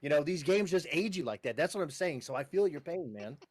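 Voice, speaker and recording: A man in his twenties with a slightly higher voice, teasing and joking in loose banter. He often stumbles and restarts mid-sentence. The mic picks him up dry and close. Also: lively intonation, a little fast, darkish tone, dry recording. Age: 20s. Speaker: co-host. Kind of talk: casual podcast banter.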